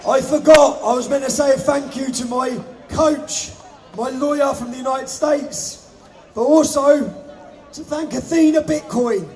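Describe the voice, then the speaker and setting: Man, shouting, high strained voice, outdoor rally, crowd behind. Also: steady tone, measured pace. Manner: shouting to a crowd, hoarse-edged. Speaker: rally organiser speaking on stage. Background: crowd noise.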